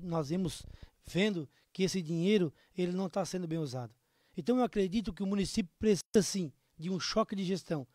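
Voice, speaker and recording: A man's voice: sits high, darkish tone, dry recording. A man in his thirties with a southern Brazilian accent, speaking earnestly and colloquially as a candidate in an interview, into a studio microphone. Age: 30s. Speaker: political candidate being interviewed. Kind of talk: interview answers, campaign appeal. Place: small indoor studio. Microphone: microphone on a stand.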